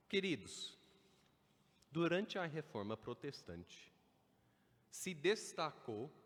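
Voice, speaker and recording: A man preaching a Sunday evening sermon, building to emphatic stresses. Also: mid-range voice. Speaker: pastor. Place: church sanctuary. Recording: church hall with long reverb.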